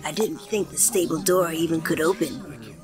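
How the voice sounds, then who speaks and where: A woman's voice: low-pitched, steady tone, normal speed, over music, lightly acted. A woman playing a worried pony mare exclaiming dramatically from a panicked crowd in an audio drama. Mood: shocked.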